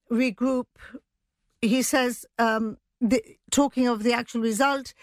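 A female news editor speaks in a slightly high voice, recorded close and dry. She reports conversationally, hesitating and thinking aloud between points. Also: no room sound.

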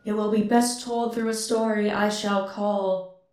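A woman's voice: mid-range voice, level tone, natural voice. A woman playing a solemn tarot fortune teller, giving a portentous, theatrical reading. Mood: solemn, mysterious. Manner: portentous, measured. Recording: echoey, reverberant voice.

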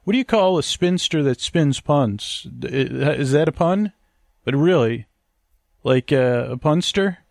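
A man with a gravelly voice, rambling as a podcast host in a hesitant, stammering, meandering monologue meant for falling asleep to. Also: fairly high pitch, expressive, measured pace, a little mellow, dry recording, clean studio sound.

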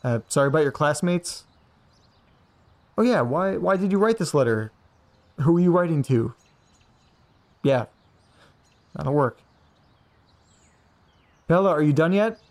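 Man playing an anxious, hesitant young guy, higher-pitched voice. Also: animated, a little slow, long pauses. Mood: awkward rehearsing. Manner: hesitant, rambling. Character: anxious, scatterbrained young man, polite and easily flustered. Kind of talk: naturalistic audio drama acting.

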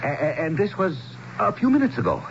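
An actor playing a genial, excitable middle-aged townsman with a hearty, eager manner in a radio drama. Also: a little high, a little fast. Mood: awed, delighted. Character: genial, excitable middle-aged man, neighbor or small-town mayor. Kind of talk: 1970s radio drama acting. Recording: dull, noisy 1970s radio broadcast.

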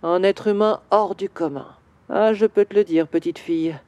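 A woman voicing a grieving male bronze statue in a children's audio story, slow and wistful. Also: medium pitch, steady tone, dark tone, clean tone, no room sound, highs cut off, lightly acted. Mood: sorrowful.

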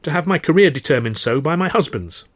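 A man's voice: on the high side, lively intonation, quick pace, a bit bright, no room sound, voice pushed up. A man reading an audiobook voices a proud, independent young Edwardian woman with an English accent and a firm manner. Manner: firm, emphatic.

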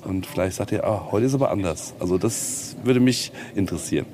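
A man's voice: low voice, a little fast, mellow, a little hiss or hum. A man being interviewed casually, talking reflectively and often searching for his words.

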